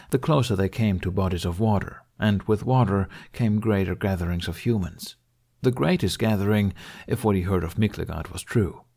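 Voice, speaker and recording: A man reading scripted fiction as an audiobook narrator, with a slightly husky voice. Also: fairly low pitch, mellow.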